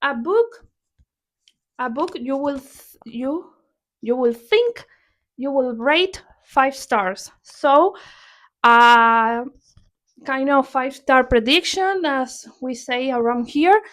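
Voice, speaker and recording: A young woman from Argentina speaks English with an Argentine accent, her first language being Spanish. Her voice sits a touch high, and she talks at a slow, easygoing pace in casual chat. She often trips over a word and starts the sentence again. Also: animated, a bit bright. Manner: unhurried, chatty, restarts and corrects herself often. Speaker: book vlogger.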